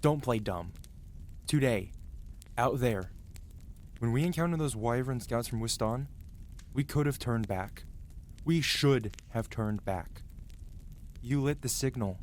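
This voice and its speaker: A man's voice: medium pitch, animated, slow, long pauses, a little hiss or hum. A man voicing an angry yet protective dragon who scolds a rider in a dramatic, emotional fantasy ASMR roleplay. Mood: angry, scolding.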